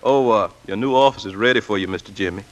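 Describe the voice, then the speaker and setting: A man's voice: fairly low pitch, fast talker, lightly acted, poor audio. Folksy night janitor, played by a man, humble and talkative, deferential to his betters, old-time radio drama. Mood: folksy, wistful. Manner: folksy, uneducated grammar, deferential. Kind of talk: 1940s radio acting. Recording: vintage 1940s radio broadcast.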